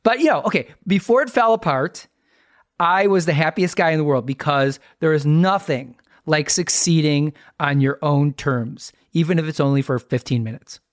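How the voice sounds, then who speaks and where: A man's voice: on the high side, moderate pace, no echo, clean studio sound. A middle-aged American man hosting a podcast, telling stories conversationally in a wry, self-deprecating way. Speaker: podcast host. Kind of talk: conversational storytelling.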